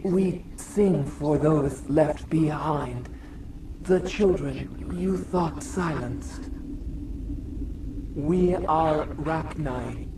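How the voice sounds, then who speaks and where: Very slow, distant alien queen. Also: low voice, noisy background, exaggerated voice. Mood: solemn, mournful. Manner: halting, formal, poetic phrasing. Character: ancient female insect alien queen speaking through a host, solemn. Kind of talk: video game acting.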